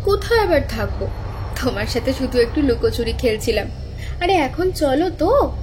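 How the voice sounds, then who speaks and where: A woman's voice: high-pitched, very animated, moderate pace, slight background noise. A woman playing a playful, teasing young woman in a horror audio drama, coaxing as she speaks. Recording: loud music behind.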